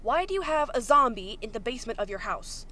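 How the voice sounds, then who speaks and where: A woman's voice: a little high, big pitch swings, fairly quick, sharp tone, slight background noise, pitched above the performer's own voice. Woman voicing an indignant, sarcastic young survivor. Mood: angry, sarcastic. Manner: accusatory, sarcastic, emphatic.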